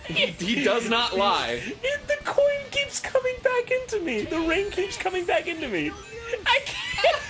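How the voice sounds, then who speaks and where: A woman's voice: very high voice, huge pitch swings, measured pace. A woman giving exasperated commentary while playing a video game, swinging between groans and shrieks. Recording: loud music over the clip.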